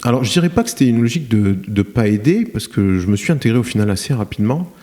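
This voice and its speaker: A man's voice: mid-range voice, animated, fast, dark tone. A middle-aged French man talking about his career in a podcast interview, in a hesitant way with many fillers and restarts. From France. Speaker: guest, cement plant director. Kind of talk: podcast interview, reflective storytelling.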